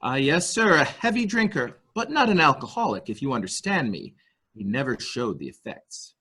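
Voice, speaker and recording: A man's voice: medium pitch, very animated, normal speed, bright, forceful tone, husky, heavily acted. A man playing a deferential male nurse-attendant in a murder mystery reading, speaking formally, hesitantly and obsequiously. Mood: deferential, careful. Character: deferential male nurse-attendant to a rich invalid, primly servile.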